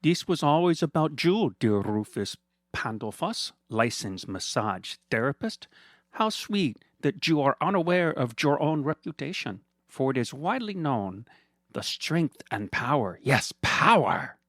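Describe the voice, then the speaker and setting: A man's voice: medium pitch, big pitch swings, a little slow, dry recording, studio quality, voice pushed up. A man voicing a smug, villainous male hand model who gloats in a theatrical, condescending way. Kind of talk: comedic storytelling voice.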